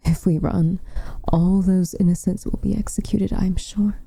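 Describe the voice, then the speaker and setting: Woman doing a proud protective witch for asmr, very dark mellow voice, slow n formal, slips into whispers. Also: lowish voice, fairly even intonation, dry recording, heavily acted. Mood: worried, frustrated. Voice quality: soft, breathy, often dropping to a whisper. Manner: formal, measured, dramatic delivery. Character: protective, proud, frustrated witch, tender toward her lover. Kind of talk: ASMR roleplay acting.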